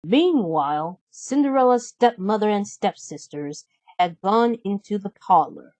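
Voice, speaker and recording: A woman reading a children's storybook aloud as an expressive storyteller who acts out the characters. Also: medium pitch, measured pace, no echo.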